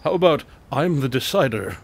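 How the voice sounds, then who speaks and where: A man playing an eccentric, self-assured detective with a playful deadpan manner in a comic radio drama. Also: medium pitch, moderate pace, vocal fry, breathy edge, heavily acted. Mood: cocky, playful. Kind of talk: comedic radio drama acting.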